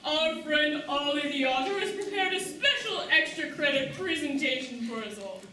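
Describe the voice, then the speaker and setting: A woman's voice: sits high, animated, normal speed, a crowd in the background. A woman playing a proper, approving sea-creature schoolteacher in a children's puppet show, speaking in a formal, stagey way. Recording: echoey room, far mic, music behind.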